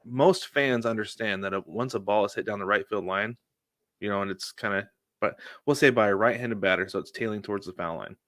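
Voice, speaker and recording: Casual, slightly low-voiced male podcaster. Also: brisk, a bit bright, no room sound, clean studio sound. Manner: informal, anecdotal, frequent "like" and "you know". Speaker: podcast co-host. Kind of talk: casual chat on a sports podcast.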